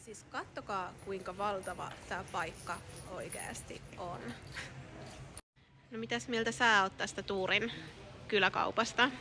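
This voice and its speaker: A woman's voice: mid-range voice, fairly even intonation. Woman, 30s, from Finland, bubbly vlogger, phone at arm's length, background noise. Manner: bubbly, enthusiastic, talks to camera and her kids. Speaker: family vlogger. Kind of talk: casual travel vlog narration. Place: car, shops, hotel and outdoors.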